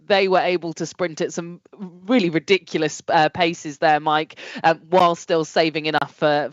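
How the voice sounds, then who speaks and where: A woman's voice: on the low side, dry recording, lo-fi sound. A woman giving rowing analysis as co-commentator, reflective, with many fillers.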